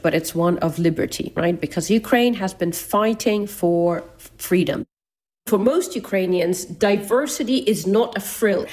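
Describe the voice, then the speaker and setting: A woman's voice: mid-range voice, a little mellow. A woman, an anthropologist and campaigner, speaking in an emphatic, persuasive way with rhetorical questions. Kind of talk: interview soundbite.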